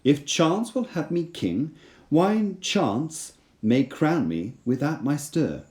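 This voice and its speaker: A man's voice: fairly high pitch, big pitch swings, slow pace, darkish tone, echoey room, voice pushed up. A man reading as a brave, ambitious general from a storybook, declaiming old-fashioned verse. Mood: brooding.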